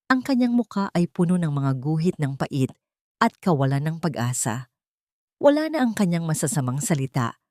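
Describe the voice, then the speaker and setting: A woman's voice: fairly low pitch, a little mellow, dry recording, clean studio sound. A woman narrating a scripted dramatic audio story in a solemn, literary storytelling manner.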